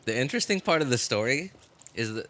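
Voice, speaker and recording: A man livestreaming games in casual chat, talking in a halting, rambling way and often repeating himself. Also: mid-range voice, animated, fairly bright tone. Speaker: live game streamer. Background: music.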